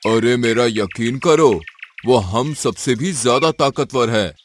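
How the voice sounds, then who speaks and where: A man's voice: medium pitch, slow pace, a little hiss or hum, natural voice. An actor voicing a frightened male tiger in a cartoon, stammering fearfully. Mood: scared. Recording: cartoon with music behind.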